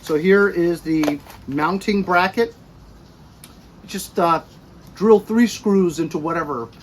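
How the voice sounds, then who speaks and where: A middle-aged American man giving a casual, chatty product review with animated emphasis, recorded by a camera a few feet away in a garage. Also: high-pitched, slow. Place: cluttered garage or workshop.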